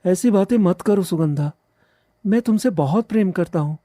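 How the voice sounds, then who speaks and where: A man's voice: high voice, normal speed, dark tone, dry recording, studio quality, close to an everyday voice. A man playing a devoted young village bridegroom, earnest, respectful and pleading, in a cartoon story. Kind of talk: Hindi animated moral story dialogue.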